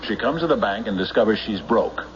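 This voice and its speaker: An actor playing a suspicious American sheriff in a radio mystery, questioning deliberately with measured pauses. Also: male voice, lowish voice, expressive, natural voice. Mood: probing, suspicious. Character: suspicious, probing male county sheriff. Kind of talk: radio mystery drama acting. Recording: muffled, hissy old radio recording.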